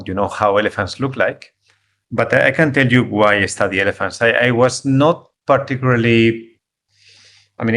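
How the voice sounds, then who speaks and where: A middle-aged man with a Spanish accent gives a talk over a video call, in a slightly low voice. He speaks in a halting way, repeating words while he searches for his phrasing. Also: a bit bright. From Spain. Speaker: guest speaker, conservation biologist. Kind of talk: online talk.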